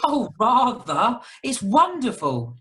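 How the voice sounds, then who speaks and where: A woman's voice: on the high side, sing-song, slow pace, bright, forceful tone, heavily acted. A woman playing an eager, well-spoken newlywed husband in an amateur radio comedy.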